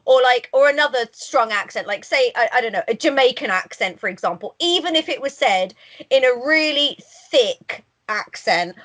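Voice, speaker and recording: Woman co-host, lively bouncy pitch, higher voice, giggly and chatty. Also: normal speed. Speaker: podcast co-host. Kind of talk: casual podcast chat.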